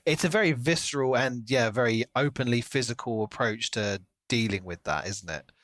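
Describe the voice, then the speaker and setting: A man co-hosting a podcast, talking through a book in a casual, animated way. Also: mid-range voice, moderate pace, no echo. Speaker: podcast co-host.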